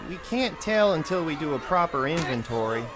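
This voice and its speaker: An actor playing a stiff, deferential junior quartermaster in an audio drama, formal, clipped and officious. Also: male voice, fairly high pitch, big pitch swings, normal speed, over music, a crowd in the background. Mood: dutiful.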